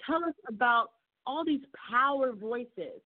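A woman's voice: high voice, animated, slow pace. A woman hosting an interview, enthusiastic, repeating words for emphasis. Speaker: interview host. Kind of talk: interview conversation. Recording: tinny phone-like audio, dry.

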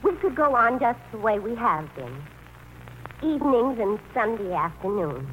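A sweet, self-effacing sweetheart speaks in a very high voice that lilts up and down constantly. She is chirpy and eager to please, in 1940s radio acting style, with light noise from the old broadcast. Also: big pitch swings, normal speed, a bit bright, rough recording. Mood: understanding. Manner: chirpy, earnest, accommodating.

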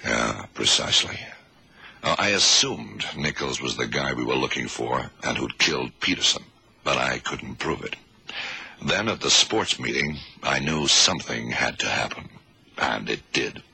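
An actor playing a shrewd detective in a radio drama, deliberate and authoritative as he lays out a case. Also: lively intonation, sharp tone, full character voice. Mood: assured, explaining. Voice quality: very deep, gravelly, husky. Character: shrewd male American blind detective explaining a case. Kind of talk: 1970s radio drama acting.

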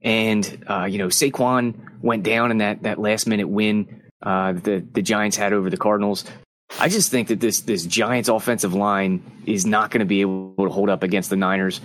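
A man's voice: fairly low pitch, steady tone, normal speed, no room sound. A man giving casual opinions as a panelist on a sports betting show. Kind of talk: casual chat.

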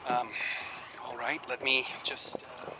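An actor playing an earnest, uneasy researcher in a radio drama, speaking in a halting, musing way as if keeping an audio diary. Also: male voice, mid-range voice, completely flat delivery, lightly acted. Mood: polite, unsettled. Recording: faint noise behind the voice.

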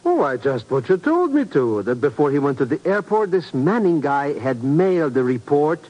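An actor in a 1950s radio drama playing a jumpy, complaining small-time hustler sidekick who stammers naively. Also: male voice, on the high side, big pitch swings, normal speed, a little mellow, highs cut off. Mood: hesitant. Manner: hesitant, stammering, grumbling, naive. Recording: noisy vintage 1950s radio recording.

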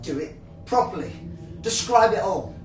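An actor voicing a desperate young man named Jack who self-harms, shouting frantic orders. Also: mid-range voice, expressive, normal speed, distant mic, faint music behind, lightly acted. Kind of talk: audio drama acting. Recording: echoey, from off mic.

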